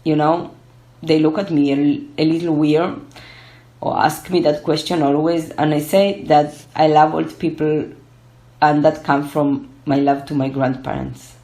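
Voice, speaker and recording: A young woman from Argentina, Spanish her first language, answering interview questions in English with many pauses and fillers. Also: sits low, little pitch movement, dark tone, rough recording. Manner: hesitant, many fillers and restarts. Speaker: guest, gerontology researcher. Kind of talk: casual interview.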